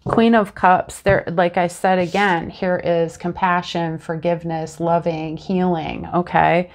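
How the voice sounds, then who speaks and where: A woman's voice: lowish voice, measured pace. A woman in her thirties, a bit congested and sniffly, chatting casually through a tarot reading to camera on a lapel mic in a small room at home. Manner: chatty and animated, talks with her hands. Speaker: tarot reader.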